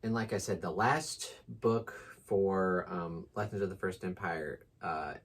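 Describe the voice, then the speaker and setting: A man's voice: low voice, steady tone, a little slow, breathy edge. A man co-hosting a casual book chat with informal, slangy, enthusiastic talk. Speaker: book channel co-host.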